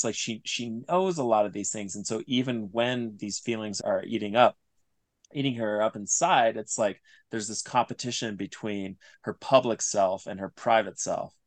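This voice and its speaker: Low-voiced man, thoughtful podcast guest. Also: no echo. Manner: thoughtful, searching, pieces sentences together haltingly. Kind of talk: reflective interview talk.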